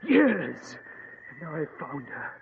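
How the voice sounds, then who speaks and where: Excitable, dismissive gentleman explorer, high-pitched, vintage radio melodrama with some hiss. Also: slow pace, vocal fry, long reverb tail, heavily acted. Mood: awed, determined. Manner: theatrical, dismissive, exclaiming. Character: imperious, excitable English gentleman archaeologist. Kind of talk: old-time radio drama acting. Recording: dull, hissy old radio recording.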